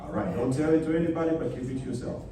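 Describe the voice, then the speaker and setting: A man's voice: a little high, steady tone, racing, distant mic. A man from Tanzania addresses a live audience from a panel, walking them step by step through a money exercise and checking they follow. He sits well back from the mic in a big echoing hall. A crowd stirs behind him. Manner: leads the room through a number exercise, checking in often. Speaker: panel speaker. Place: event hall.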